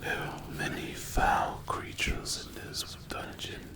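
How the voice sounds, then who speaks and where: A man voicing a sinister, predatory demon in a dark fantasy ASMR roleplay, taunting mockingly in breathy whispers. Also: echoey room, close to an everyday voice. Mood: mocking menace. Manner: slow, menacing, mocking, silky taunts.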